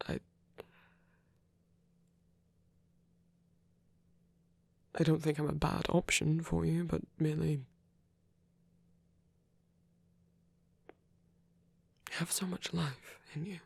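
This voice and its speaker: A performer playing a gentle, protective male vampire priest in an intimate ASMR roleplay, speaking softly and haltingly, often in a whisper. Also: mid-range voice, level tone, dry recording. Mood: tender, hesitant. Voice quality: soft, breathy, often whispering. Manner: hesitant, tender, halting pauses.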